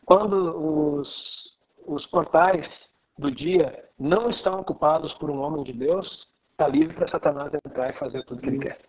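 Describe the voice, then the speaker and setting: A man from southern Brazil with a Gaúcho accent, talking keenly on a live video call. His voice sits high and sounds husky, and the line is thin and tinny like a phone. He teaches with rising excitement and stresses his points. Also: moderate pace. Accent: Gaúcho Brazilian Portuguese. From Rio Grande do Sul, Brazil. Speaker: pastor.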